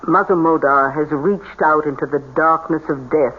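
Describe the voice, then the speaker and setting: An actor playing a smooth-talking, scheming séance host with a measured, formal, solicitous manner in an old radio drama. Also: medium pitch, natural voice. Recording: tinny, noisy old radio recording.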